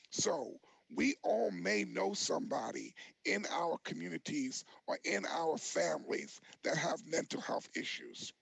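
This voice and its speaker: A man presenting as an expert to a virtual commission meeting, earnest and halting, with repeated words for emphasis and a raspy, creaky voice. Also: high voice, a bit bright, no echo, low-quality recording.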